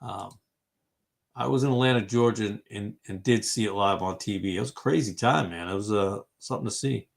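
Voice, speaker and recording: A man's voice: fairly low pitch, a little fast, gravelly. A man in his fifties from Massachusetts hosting a casual online fellowship chat, rambling and thinking aloud with lots of fillers while he responds to viewers' comments. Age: 50s. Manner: reacts to viewers' comments as he goes. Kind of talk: casual livestream group conversation.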